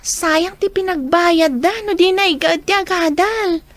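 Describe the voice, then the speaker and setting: High-voiced dutiful young college woman. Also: unhurried, no room sound. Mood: earnest. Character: dutiful, affectionate college-age daughter and niece. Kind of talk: radio drama acting.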